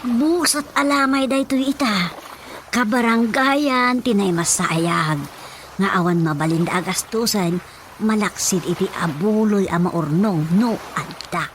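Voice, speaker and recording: A woman's voice: medium pitch, unhurried, slight background noise, lightly acted. An actress playing an older, practical mother in an Ilocano radio drama, emphatic and given to complaining. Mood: worried complaining. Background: background music.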